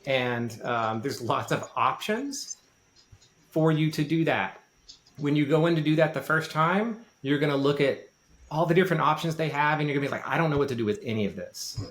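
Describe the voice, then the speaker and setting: A man giving informal, explanatory podcast advice in a light voice without much bass. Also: lively intonation, normal speed, fairly bright tone. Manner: informal, self-deprecating. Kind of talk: casual explanatory podcast talk. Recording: roomy, echoey sound.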